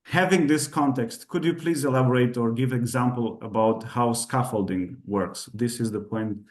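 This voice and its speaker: A man with a Romanian accent, whose first language is Romanian, asking a question in a video-call discussion. He speaks politely and hesitantly, with many pauses and restarts. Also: mid-range voice, a little mellow. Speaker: audience member asking a question.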